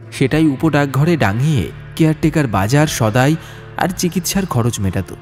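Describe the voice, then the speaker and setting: A man narrating a scripted horror story in a literary, expressive, dramatic way. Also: fairly high pitch. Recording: music under the voice. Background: background music.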